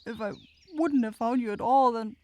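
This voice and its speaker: A woman's voice: on the high side, moderate pace, a little mellow, no room sound, close to an everyday voice. A woman voicing a sweet, clumsy forest witch in a fantasy ASMR roleplay, stammering and hesitant as she talks. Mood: flustered relief. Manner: stammering, hesitant, breaks off and repeats words.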